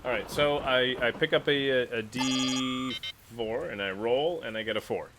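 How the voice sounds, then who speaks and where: A man's voice: medium pitch, normal speed, music in the background. A man chatting casually and playfully as a tabletop player, repeating words as he thinks. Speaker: tabletop role-playing player. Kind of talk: casual, playful game chat.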